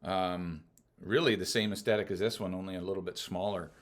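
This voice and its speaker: A man hosting a casual podcast chat, teasing his guest and laughing often, with many halting restarts. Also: deep voice, steady tone. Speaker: podcast host.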